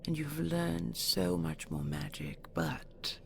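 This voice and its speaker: A woman playing a possessive, seductive dragon queen in an intimate audio roleplay, slow, doting and imperious, with a breathy, gravelly whisper. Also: low-pitched, big pitch swings, slight background noise, full character voice. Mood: protective, indulgent.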